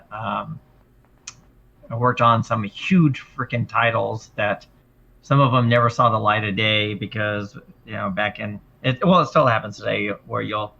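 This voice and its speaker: A middle-aged man with a low, gravelly voice and a sharp, bright edge, heard through a dull lo-fi mic. He tells his stories haltingly, with plenty of "um" and "uh" and restarts. He is a guest on a casual stream. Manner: halting, many fillers and restarts, laughs while telling stories. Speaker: guest, former game designer.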